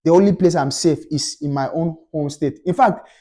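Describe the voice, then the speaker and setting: A young man with a Nigerian accent argues his case with fervor, hammering each point home through repetition. His voice sits a little high, yet the tone stays mellow and warm. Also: moderate pace. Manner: passionate, emphatic, repeats points for stress. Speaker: commentator. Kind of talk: opinion rant to camera.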